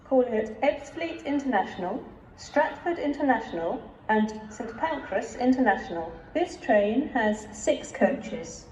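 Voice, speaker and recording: A British woman reads formal, measured train announcements in separate scripted phrases over a railway station's public address system. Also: fairly high pitch, animated, darkish tone. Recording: distant, echoing station PA with clear noise.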